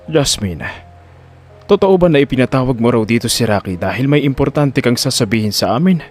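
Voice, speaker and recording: A man playing a wealthy elderly Don in an audio drama, measured and authoritative in his questions. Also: medium pitch, animated, faint music behind, lightly acted. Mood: serious. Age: older.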